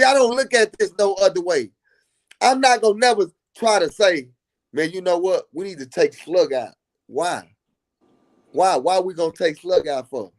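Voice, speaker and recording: A man from Dallas, talking earnestly in a casual live stream and repeating himself for emphasis. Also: high-pitched. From Dallas, Texas.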